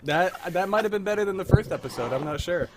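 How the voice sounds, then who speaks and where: A young man hosting a casual post-show chat, excitable, laughing often and swearing casually. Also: sits high, fast, background noise. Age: young adult. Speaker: podcast host.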